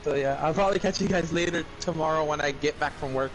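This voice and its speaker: A man with a high-pitched voice chats informally on a stream. He swears freely, and there is clear noise behind his voice. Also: fast. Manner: frequent swearing. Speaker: participant in a stream voice chat. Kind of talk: casual chat. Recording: clearly noisy voice chat.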